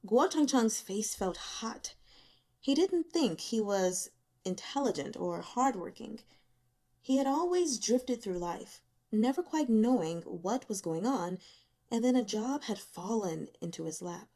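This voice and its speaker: A woman narrates a novel in a fairly high voice. She reads scripted prose expressively, as an audiobook storyteller. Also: lively intonation, a little slow, a little mellow. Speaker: audiobook reader.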